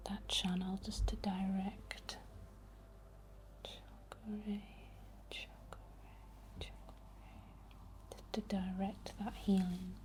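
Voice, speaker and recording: A woman whispers slowly and gently, as if leading a calming ASMR ritual. Her voice is soft, breathy and mellow, and she soothingly repeats words as she guides the listener. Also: mid-range voice. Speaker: ASMR host performing a healing ritual.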